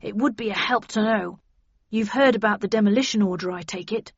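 A woman's voice: medium pitch, a little fast, slightly rough, no echo, muffled recording. A woman voicing a large, jovial male detective chief inspector in an audiobook, hearty and anxious for approval.

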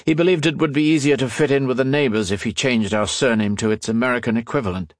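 A man's voice: mid-range voice, quick pace, dry recording, clean studio sound, pitched above the performer's own voice, close to an everyday voice. A man voicing a scheming male assassin who poses as a genial, folksy American in an audiobook story. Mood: hearty. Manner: falsely hearty, folksy.

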